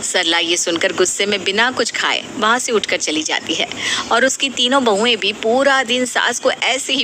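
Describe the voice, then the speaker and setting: A woman reading a scripted moral story as the storyteller. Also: mid-range voice. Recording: clearly noisy background ambience.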